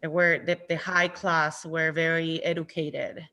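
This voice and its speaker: Woman book club leader from Chile, bright tone, hesitant and reflective. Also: sits low, level tone. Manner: thoughtful, halting with many fillers. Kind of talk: casual online group discussion. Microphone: video call.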